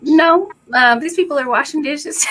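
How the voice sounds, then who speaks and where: A woman with a raspy voice co-hosting a casual live spiritual chat, relaxed and chatty. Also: high voice, measured pace. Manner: relaxed, chatty, often laughing.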